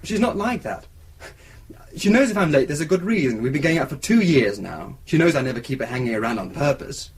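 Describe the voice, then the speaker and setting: A man's voice: on the high side, big pitch swings, brisk, husky, heavily acted. An actor playing an anxious, defensive boyfriend in a radio drama, hesitant as he explains himself. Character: anxious, defensive young boyfriend of a missing woman. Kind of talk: naturalistic radio drama acting.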